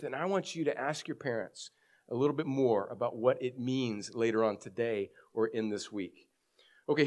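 A middle-aged male pastor with a head cold and scratchy throat, preaching a sermon earnestly, explaining and reading scripture with emphasis. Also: on the high side.

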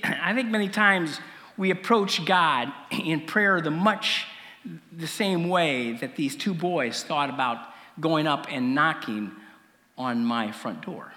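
Animated male preacher in a reverberant hall. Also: on the high side. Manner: conversational, halting, with frequent pauses and throat clearing. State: slightly rough morning voice. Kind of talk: sermon with illustrative storytelling.

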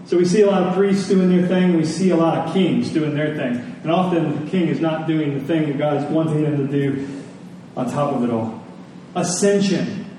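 In a very echoey room, heard from a distance, a male pastor preaches in a mellow, fairly high voice. He leans on repeated phrases for emphasis and slips into conversational questions to the congregation. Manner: conversational asides and rhetorical questions. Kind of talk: church sermon. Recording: echoey room, distant mic, faint noise.

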